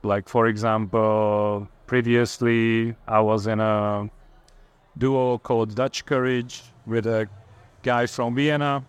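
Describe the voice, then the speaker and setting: A man from Hungary, a guest on a panel, introducing himself in a relaxed, plain-spoken way. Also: fairly low pitch, slow, clear, resonant tone, no room sound. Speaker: panel guest, electronic music producer. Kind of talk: casual self-introduction.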